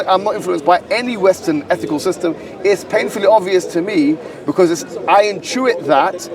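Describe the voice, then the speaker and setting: Lecturing London man in outdoor debate. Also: high-pitched. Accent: London English. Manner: argumentative, lecturing, quick and insistent. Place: outdoor public park with crowd. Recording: distant street recording with crowd around.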